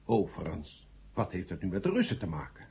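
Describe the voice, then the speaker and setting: A man in a radio play acting a decisive, authoritative council chairman with a husky voice. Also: sits low, huge pitch swings, a little fast, warm tone, full character voice. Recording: dull old radio play recording.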